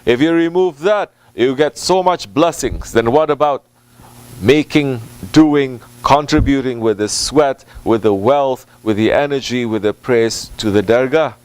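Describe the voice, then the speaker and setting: Man giving a deliberate, halting spiritual talk. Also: mid-range voice, a little mellow, smooth. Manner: deliberate, halting phrasing with repetition for emphasis; Arabic and Turkish religious phrases mixed into English. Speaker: Sufi religious teacher at a dargah. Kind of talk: informal sermon answering a question.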